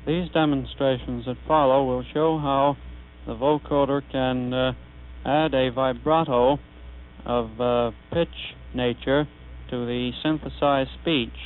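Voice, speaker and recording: A man formally presenting a technical demonstration in a measured way, in a tinny old recording with hum. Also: medium pitch, fairly even intonation. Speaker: demonstrator, announcer.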